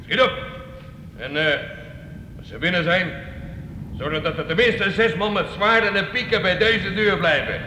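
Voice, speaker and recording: An actor playing a domineering, suspicious medieval castle lord in a radio drama, curt and contemptuous in manner. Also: male voice, high-pitched, expressive, measured pace, pauses often, sharp tone, exaggerated voice. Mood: impatient, commanding. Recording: echoey, noisy old radio play recording.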